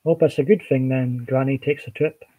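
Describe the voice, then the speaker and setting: A Scottish man chatting easily on a livestream, reading out viewers' comments and replying in a relaxed, rambling way. His pitch sits in an ordinary middle range. He often trails off and restarts his sentences. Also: normal speed, slightly rough, phone-quality sound. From Scotland. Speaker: livestream host.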